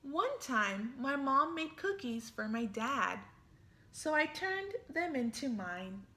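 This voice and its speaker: Storybook read-aloud: a make-believe little fairy girl, voiced by a woman, high and bright, slow and lively. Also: heavily acted. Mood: playful. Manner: lively storybook reading with playful emphasis. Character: imaginative little girl who thinks she's a fairy. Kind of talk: reading a picture book aloud.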